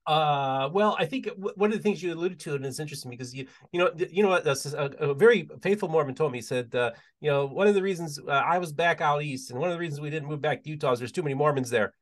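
A man hosting a podcast interview, talking quickly and casually, with many stammers and restarts. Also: fairly high pitch. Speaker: podcast host.

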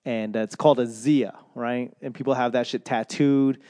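Casting: young guy, rambling profane comic rant, dry close sound, mid pitch. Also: steady tone, moderate pace, mellow, no room sound. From Boston. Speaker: comedian and podcaster. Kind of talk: casual monologue.

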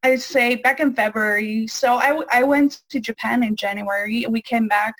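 A woman's voice: a little high, normal speed, fairly bright tone, no room sound, rough recording. A woman, answering interview questions casually with frequent ums and restarts. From Netherlands. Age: 20s. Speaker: interview guest. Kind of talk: casual interview over a call.

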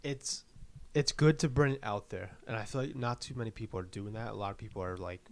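A man in his twenties with a lowish voice co-hosts a podcast. He chats in an upbeat, joking way. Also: quick pace, a little hiss or hum. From Manchester, New Hampshire. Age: 20s. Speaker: podcast co-host. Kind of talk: casual podcast chat.

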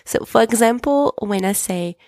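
A woman hosting a podcast lesson, patiently explaining and repeating French examples slowly. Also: fairly high pitch, animated, a little mellow, no echo. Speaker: French teacher, podcast host.

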